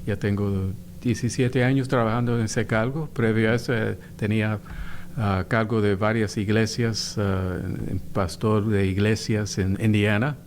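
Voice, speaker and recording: An older man speaks as a church pastor in a podcast interview, his voice sitting low. He pauses and restarts often, padding answers with fillers. A faint hum runs under the recording. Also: flat delivery. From United States. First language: Spanish heritage, raised in New York. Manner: halting, many fillers and restarts.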